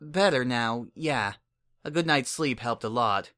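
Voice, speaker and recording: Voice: mid-range voice, expressive, measured pace, fairly bright tone. A reader voicing a young unicorn mare sorceress, weary and guilt-ridden, speaking in subdued, understated replies in an audiobook.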